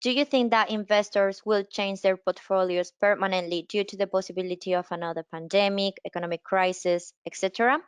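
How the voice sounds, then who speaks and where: A woman's voice: mid-range voice, steady tone, a little fast, few pauses, clean tone, no room sound. A woman hosting a panel on a conference call, politely handing questions to the speakers. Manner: polite, deferential. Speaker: roundtable host, moderator. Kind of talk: moderating a panel discussion.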